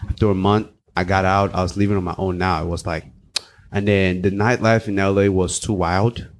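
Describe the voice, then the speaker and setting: A man's voice: deep voice, little pitch movement, brisk. A young man from Cameroon, chatting casually and joking as a guest on a podcast. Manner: laid-back, joking, slangy. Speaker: guest, party promoter.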